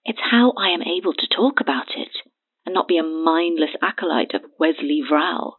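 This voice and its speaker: A woman in her twenties playing a haunted, terrified witness in an audio horror drama, speaking haltingly and hushed through a telephone filter. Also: deep voice, animated. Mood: shaken, haunted. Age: 20s. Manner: halting, hushed, breathy delivery. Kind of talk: audio horror drama acting, phone confession. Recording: thin phone-line sound.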